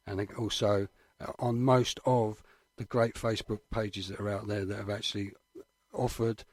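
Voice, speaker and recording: A man's voice: lowish voice, level tone, normal speed, no room sound. An English man co-hosting a radio show, chatting casually in an agreeable, matey way. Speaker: radio co-host.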